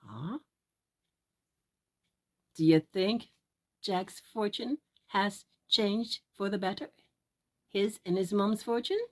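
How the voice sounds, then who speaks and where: A woman telling a children's fairy tale aloud, expressive and deliberate, drawing out words for young listeners. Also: mid-range voice. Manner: deliberate, drawn-out emphasis, addressing young listeners. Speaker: children's storyteller.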